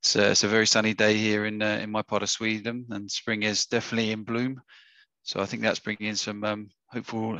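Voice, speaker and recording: A man with a husky voice, hosting an online webinar in a casual, hesitant way with many fillers and restarts, speaking over a video call. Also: on the low side, bright, forceful tone, no room sound. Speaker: webinar host. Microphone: webcam or headset on a video call.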